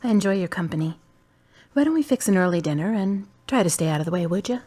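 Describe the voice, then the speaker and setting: A woman's voice: lowish voice, animated, fast talker, over music, close to an everyday voice. A woman playing a caring, blunt friend who asks direct questions and takes a grieving man into her home. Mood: teasing. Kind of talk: amateur drama acting.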